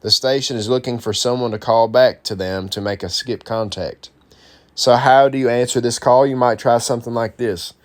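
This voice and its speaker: A man's voice: medium pitch, moderate pace. A man presenting an instructional guide, reading a script aloud in an informal, explanatory way. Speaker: presenter of a CB radio how-to guide.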